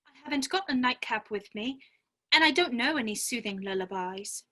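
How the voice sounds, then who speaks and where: A woman's voice: a little high, animated, moderate pace, pushed and bright. A woman playing an outspoken, curious young girl with an emphatic, indignant manner in a dramatic reading. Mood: exasperated. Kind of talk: dramatic reading of a classic children's story.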